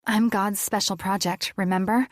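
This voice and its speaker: A woman voicing a sarcastic young heroine who talks quickly with wry defiance. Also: medium pitch, fairly even intonation, no echo, studio quality, close to an everyday voice. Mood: defiant.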